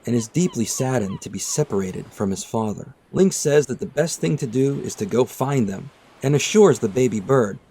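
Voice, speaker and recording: A man reading scripted, storybook-style narration in a measured, dramatic storytelling manner. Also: mid-range voice, animated, warm tone, breathy edge. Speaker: narrator of a manga retelling video. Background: background music.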